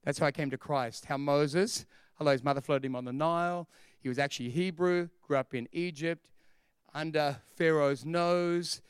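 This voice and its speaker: Lively Australian preacher with a high, clean voice, dry close sound. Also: male voice, normal speed, clear, resonant tone, no room sound. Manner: animated, chatty, laughs at own story. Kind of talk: humorous storytelling sermon.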